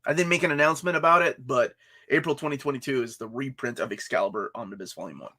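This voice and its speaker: A man's voice: mid-range voice, fast talker, pushed and bright. A young man co-hosting a casual comics livestream, friendly and full of fillers and restarts. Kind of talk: casual chat.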